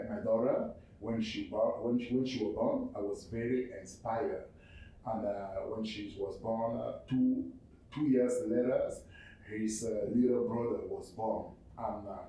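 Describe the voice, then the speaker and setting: A man from Cameroon whose first language is French, speaking English with a Cameroonian French accent. He presents his non-profit haltingly, often repeating words and restarting. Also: lowish voice, warm tone. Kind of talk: spoken presentation. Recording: very echoey room, far from mic, faint noise.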